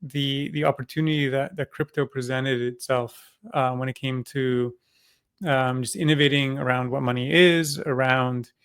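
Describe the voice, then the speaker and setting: A man who grew up in Singapore, a company co-founder answering interview questions, speaking haltingly with many fillers and restarts. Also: medium pitch, fairly bright tone, dry recording, studio quality. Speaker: crypto company co-founder, guest.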